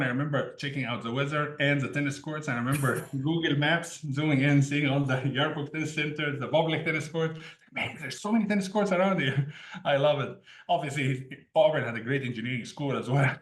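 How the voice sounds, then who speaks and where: Man, interview guest, relaxed storyteller, medium pitch, echoey room. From Egypt. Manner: easygoing, laughs often between sentences. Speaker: guest, tech founder. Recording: roomy echo.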